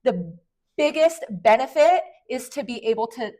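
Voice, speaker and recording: A woman's voice: fairly high pitch, lively intonation, mellow. A woman around forty hosting a podcast, polished in her intro and chatty when she explains things. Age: late 30s to 40. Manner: brisk, polished delivery that turns chatty and explanatory. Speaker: podcast host and Amazon influencer. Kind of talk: podcast conversation.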